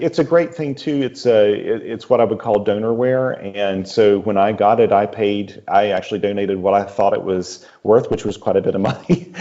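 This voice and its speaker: Podcast guest, male, deep and mellow, casual, keen to explain in depth. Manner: enthusiastic, detailed explaining. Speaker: podcast guest, radio enthusiast. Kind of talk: casual interview talk. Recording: slightly dull, lo-fi audio.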